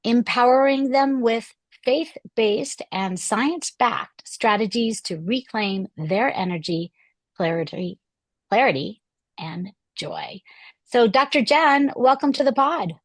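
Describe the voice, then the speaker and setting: A woman in her fifties hosting a podcast interview, her voice hoarse, chatty and upbeat with occasional fillers. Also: on the high side, lively intonation, slow, no room sound. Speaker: podcast host.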